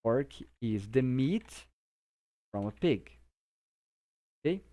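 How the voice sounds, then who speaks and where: Slow-paced Brazilian man teaching English. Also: mid-range voice, big pitch swings, mellow. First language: Portuguese. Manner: simple explanations with frequent checking tags. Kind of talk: informal vocabulary lesson to camera.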